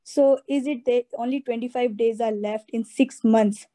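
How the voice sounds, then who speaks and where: A woman's voice: fairly high pitch, fairly even intonation, normal speed, dark tone. A woman calling into an advice show, asking anxious questions with many likes and uhs. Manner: hesitant, filler-heavy, anxious questions. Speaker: caller.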